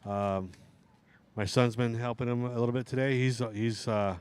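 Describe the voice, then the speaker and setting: A middle-aged man with a hoarse voice, casually commentating a live outdoor RC drag race. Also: mid-range voice, fairly even intonation, normal speed, darkish tone. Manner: casual, rambling banter with restarts.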